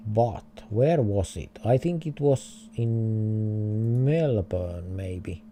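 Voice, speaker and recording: A man's voice: on the low side, expressive, drawn-out words, mellow, soft background music. A man with a Finnish accent, streaming a geography game and thinking aloud in hesitant, chuckling English. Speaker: livestreamer. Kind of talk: casual game livestream commentary.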